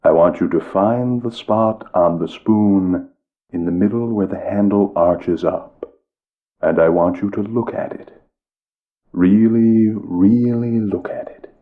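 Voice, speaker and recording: A showy psychic, tinny and muffled. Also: male voice, lowish voice, slow pace. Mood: hypnotic. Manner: theatrical, showy, coaxing. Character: theatrical male psychic fussing at his cat. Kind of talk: video game voice acting.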